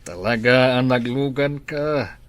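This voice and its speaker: An actor playing a helpful, paternal restaurant boss in an Ilocano radio drama. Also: male voice, medium pitch, slow pace, natural voice. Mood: reassuring.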